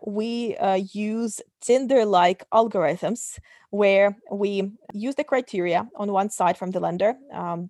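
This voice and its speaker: A woman's voice: mid-range voice, darkish tone, no room sound, clean studio sound. A young adult woman from Kazakhstan, a startup CEO answering interview questions and explaining step by step with frequent ums and uhs.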